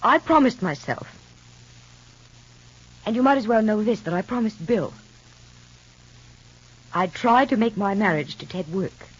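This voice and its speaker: An American actress playing an insistent, exasperated middle-aged mother in a vintage radio soap, with a husky voice. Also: mid-range voice, expressive, a little slow, long pauses. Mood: earnest, pleading. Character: insistent, exasperated mother figure lecturing a younger woman. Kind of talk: 1950s radio soap acting. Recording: dull vintage radio recording.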